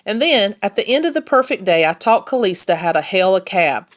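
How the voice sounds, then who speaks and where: A woman's voice: medium pitch, big pitch swings, fairly quick. A woman reading a children's novel aloud as a first-person storyteller. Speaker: read-aloud narrator, teacher.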